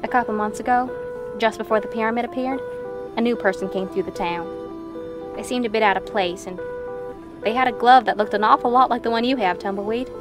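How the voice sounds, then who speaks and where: A woman playing a shrewd, unhurried townswoman in a western audio drama, with a probing, matter-of-fact manner. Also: fairly high pitch, over music, close to an everyday voice. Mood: curious.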